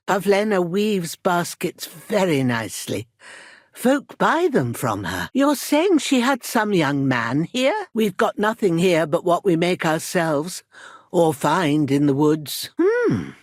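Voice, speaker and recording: A woman's voice: on the low side, wildly swooping pitch, takes it slowly, dry recording, studio quality, exaggerated voice. An actress playing a worried old village herbwoman in a video game, protective and proud, with a plain-spoken rustic manner. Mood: earnest, plain. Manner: rustic, plain-spoken, folksy turns of phrase. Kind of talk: scripted video game character dialogue.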